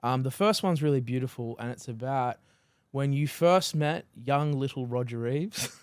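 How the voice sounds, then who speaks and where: A man hosts a podcast in a loose, rambling way, filling gaps with "um" and chatting like a friend. His voice is mid-pitched for a man and sounds dry and close, with no room around it. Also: normal speed, smooth, no room sound. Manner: casual and rambling, many fillers, laughs between phrases. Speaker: podcast host. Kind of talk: casual interview chat.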